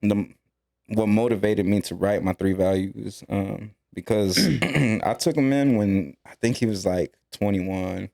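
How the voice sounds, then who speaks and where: A man talking about his life as a podcast guest, earnest and reflective, with halting restarts. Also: lowish voice, creaky, dark tone. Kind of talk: casual podcast conversation.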